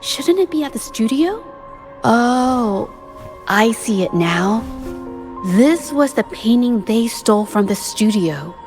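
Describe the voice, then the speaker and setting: An actress playing an earnest, observant young woman painter in a video game, speaking with thoughtful, hesitant pauses. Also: a little high, big pitch swings, a little mellow. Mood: surprised. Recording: game music behind the voice.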